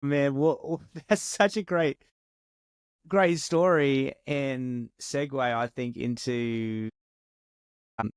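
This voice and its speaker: A man hosting a podcast, asking questions in a friendly, halting way with many fillers. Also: mid-range voice, fairly even intonation, clean tone, no room sound, studio quality. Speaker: podcast host. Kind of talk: casual interview.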